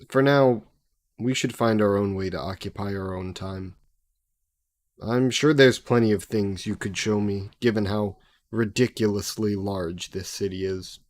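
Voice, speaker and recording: A man playing a slow-talking, haughty low-voiced demon. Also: close to an everyday voice. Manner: haughty, sardonic, formal wording. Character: sassy, possessive centuries-old male demon possessing a human. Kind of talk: scripted audio roleplay addressed to the listener.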